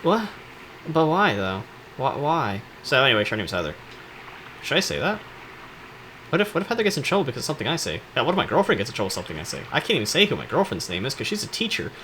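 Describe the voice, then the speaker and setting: A man's voice: medium pitch, wildly swooping pitch, fast, sharp tone, slight background noise. A man hosting casual comedic commentary on game cutscenes, joking and rambling in slangy speech. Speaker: video host.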